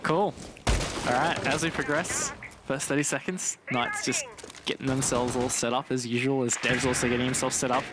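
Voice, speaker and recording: A man's voice: medium pitch, steady tone, normal speed, heavy background noise. A man giving analytical live commentary on an esports match.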